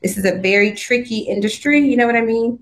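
A woman's voice: on the high side, quick pace. A woman in her fifties from Southern California, chatting about her work as a designer in an upbeat way, laughing often and restarting her sentences. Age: 50s. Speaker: guest, swimwear designer. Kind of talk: casual interview chat.

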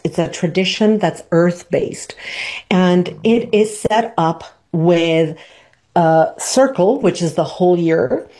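Lady in her sixties hosting a podcast, lowish warm voice, slow explaining. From Argentina. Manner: unhurried, tags points with "right?". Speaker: podcast host. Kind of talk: reflective teaching talk.

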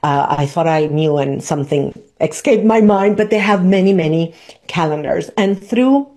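Lady in her sixties hosting a podcast, lowish warm voice, slow explaining. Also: expressive. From Argentina. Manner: unhurried, tags points with "right?". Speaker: podcast host. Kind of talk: reflective teaching talk.

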